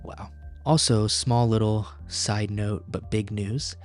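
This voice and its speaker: Flat-toned man, casual podcast host. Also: lowish voice, takes it slowly, faint music behind. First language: Tamil. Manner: casual, rambling, many fillers and restarts. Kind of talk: solo podcast monologue.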